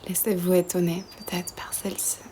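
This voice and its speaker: A woman guiding a yoga nidra meditation in a soft, breathy, half-whispered voice, soothing and gentle, with long pauses between phrases. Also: on the low side, little pitch movement, slight background noise.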